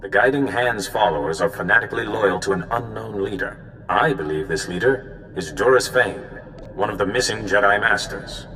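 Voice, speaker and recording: A man playing a solemn, worried Jedi mentor in a video game, speaking in measured explanations. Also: on the low side, pushed and bright. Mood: grave. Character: grave, worried male Jedi mentor giving orders. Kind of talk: cinematic video game dialogue. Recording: hall reverb, faint background noise.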